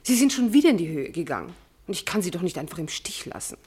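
Radio drama casting: a husky-voiced spurned mistress, wheedling yet proud, theatrical and pointed. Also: female voice, lowish voice, big pitch swings, fast talker, a little mellow. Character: scorned mistress Isabel, needy, proud and spiteful. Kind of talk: radio play acting.

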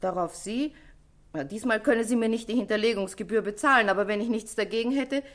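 An actress playing a brisk, testy, chatty dress-shop owner, speaking brusquely and colloquially in a German radio crime play. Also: female voice, medium pitch, lightly acted. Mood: explanatory.

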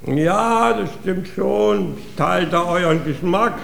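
An actor playing a worldly, money-minded music master, self-important and theatrical, in a German radio comedy. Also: male voice, high voice, slow pace, vocal fry, fairly bright tone, echoey room, exaggerated voice. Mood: wry, worldly. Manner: pompous, theatrical.

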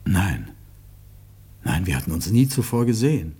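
An actor in a German radio drama playing an earnest, protective bereaved lover, with a husky voice and a grave, halting delivery. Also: male voice, low voice, huge pitch swings, warm tone, exaggerated voice. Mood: heavy, recollecting. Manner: grave, halting, emotionally weighted. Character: earnest bereaved lover recounting his tragic affair, protective, defensive.

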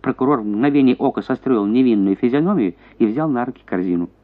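A man giving an expressive literary narration as an actor reading a story for radio. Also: mid-range voice, fast, narrow, tinny audio.

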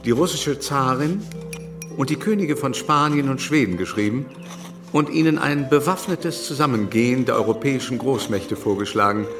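A man's voice: mid-range voice, normal speed, over music, lightly acted. An actor playing an anxious, weary French king in a radio drama, speaking in a formal, courtly and hesitant way. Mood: formal, guarded.

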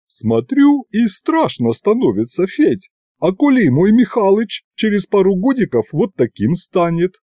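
A man's voice: sits high, very animated, unhurried, mellow, dry recording, clean studio sound, voice pushed up, full character voice. A man voicing a hearty, motherly middle-aged village woman who fusses over the boy. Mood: worried. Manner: homely, fussing.

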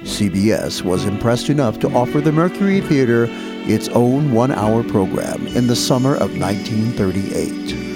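A man's voice: mid-range voice, expressive, normal speed. A man reading a scripted introduction as host of an old time radio show. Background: loud music under the voice.

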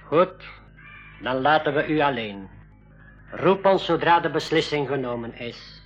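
An actor playing a solemn, dignified medicine man of a jungle tribe in a school radio drama, speaking in formal, measured phrases. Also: a little high, expressive. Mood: measured, firm. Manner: formal, measured, third-person speech. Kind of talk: 1980s Dutch-language school radio drama acting. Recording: dull radio recording with music.

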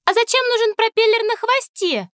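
A woman's voice: very high voice, animated, fairly quick, no room sound, clean studio sound. A woman playing a sweet, inquisitive, easily delighted little girl who coos and questions in a children's audio drama. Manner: bright-eyed questioning, cooing.

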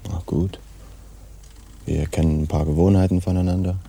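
Dunklen, knarrenden, müden Piraten. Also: male voice, low voice, level tone, moderate pace, gravelly, warm tone, slight background noise. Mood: resigned. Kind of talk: German radio play acting.

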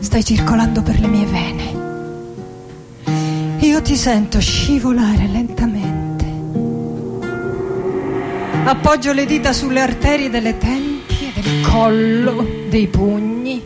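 An actress playing an anguished, lovesick poet in her forties in a theatrical radio monologue, intimate and dramatic, with long pauses. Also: on the high side. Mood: intimate, sorrowful longing. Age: 40s. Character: anguished, lovesick 46-year-old Argentine woman poet facing death. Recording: music behind the voice. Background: acoustic guitar music.

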